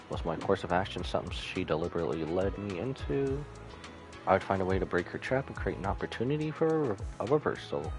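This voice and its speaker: A man streaming a game, reading story text aloud and commenting, sometimes stumbling and correcting himself. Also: on the low side. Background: game music.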